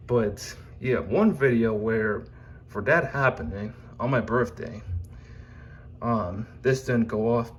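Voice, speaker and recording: Male hobbyist vlogger, lowish pitch, unhurried and halting, off-the-cuff showcase style, noticeable room noise. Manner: halting, meandering delivery in short fragments. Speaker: YouTuber presenting own collection. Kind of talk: informal unscripted video showcase. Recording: clear background noise and room ambience.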